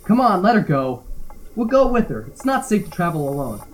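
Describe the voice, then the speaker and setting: Man playing a high-pitched, practical diver. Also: very animated, brisk, slight background noise. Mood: protective. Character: practical, protective, teasing male diver. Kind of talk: amateur audio drama acting.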